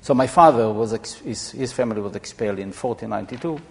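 A man in his seventies from Israel, explaining informally to an audience in a halting, reflective way. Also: lowish voice, vocal fry. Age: 70s. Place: large echoey room or hall. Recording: reverberant hall sound.

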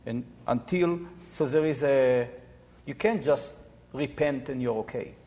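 A man in his seventies from Israel, explaining informally to an audience in a halting, reflective way. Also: mid-range voice, warm tone. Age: 70s. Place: large echoey room or hall. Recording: reverberant hall sound.

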